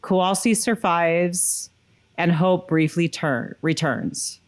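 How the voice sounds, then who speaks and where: A woman's voice: fairly low pitch, slow pace. A woman co-hosting a podcast, moving between casual chat and reading a written recap. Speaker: podcast co-host.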